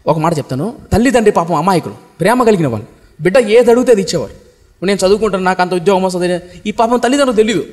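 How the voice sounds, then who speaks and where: A male pastor preaching a fiery sermon, throwing out rhetorical questions and swinging between loud outbursts and low, heavy lines. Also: expressive, very fast, big-room sound.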